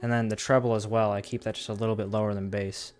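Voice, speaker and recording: A man's voice: on the low side, level tone, fast, a little mellow. A man giving a casual, rambling hands-on product demo, talking informally to his viewers as the host. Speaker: host of a gadget review series.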